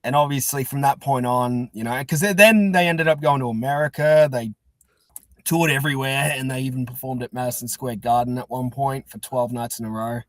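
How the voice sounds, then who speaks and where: An Australian man hosting a podcast in casual interview chat, halting and repeating words as he goes. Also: medium pitch, fairly even intonation, fairly bright tone, no echo. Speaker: podcast host.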